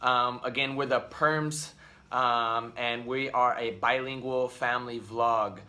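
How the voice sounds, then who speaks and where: A man in his thirties talks straight to camera as the host of a family vlog. His voice is bright and forward at a middling pitch, and he rambles loosely, with frequent ums and restarts. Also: steady tone, slow pace. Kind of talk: casual talk to camera. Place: at home.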